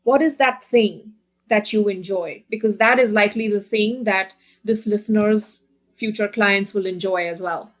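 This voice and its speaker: A woman speaking as a podcast guest in a casual interview, earnest and quick to laugh. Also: medium pitch.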